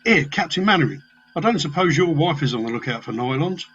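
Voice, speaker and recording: Man playing a cheeky spiv, slightly high voice. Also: lively intonation, a little fast, fairly bright tone, dull audio, soft background music. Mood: chancing, breezy. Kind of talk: amateur comedy radio play.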